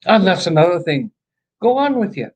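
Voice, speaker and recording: Joking man with a husky, lively voice. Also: high-pitched, normal speed. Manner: joking, playful storyteller. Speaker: co-host of a live stream chat. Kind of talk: casual live-stream chat.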